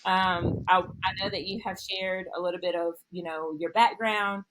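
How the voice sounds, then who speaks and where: A woman's voice: lowish voice, fairly even intonation, normal speed, background noise. A woman hosting a podcast interview, speaking in a friendly way and praising her guest. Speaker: podcast host.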